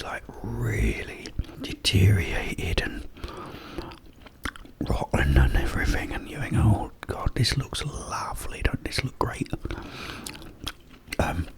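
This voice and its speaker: A man whispering up close in an unhurried ASMR ramble, with breathy delivery and hesitant pauses. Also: deep voice. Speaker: ASMR host. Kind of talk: ASMR whispered ramble about a video game. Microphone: close-up microphone.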